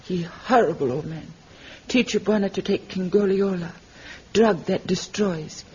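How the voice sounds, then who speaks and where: A woman playing a simple African tribeswoman in an old radio adventure, speaking halting broken English. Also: lowish voice, darkish tone, lightly acted. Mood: bitter. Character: simple, bitter African tribeswoman who hates men. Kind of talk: vintage radio adventure drama acting. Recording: dull, noisy vintage radio recording.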